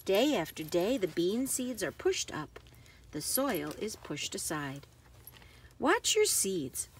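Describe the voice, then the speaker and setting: A woman reading a children's book aloud with careful, expressive phrasing for young listeners. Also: mid-range voice, slow pace, a little hiss or hum.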